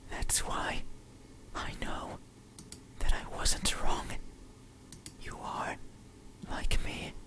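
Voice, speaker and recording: A performer voicing a creepy, obsessive supernatural entity in a slow, deliberate, breathy whisper for a horror ASMR game. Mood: eerie, insistent.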